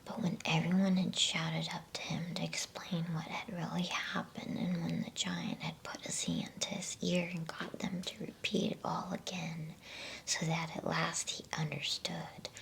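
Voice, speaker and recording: A woman reading a bedtime story as ASMR in a soft, hushed, unhurried whisper. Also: low-pitched, level tone, vocal fry, rough recording.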